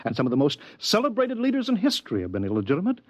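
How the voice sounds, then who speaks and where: An American actor in a 1950s radio drama playing a fussy, unctuous older adviser who wheedles and stammers pompously. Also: male voice, on the high side, wildly swooping pitch, very fast. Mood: persuasive, pompous. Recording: dull vintage 1950s radio recording.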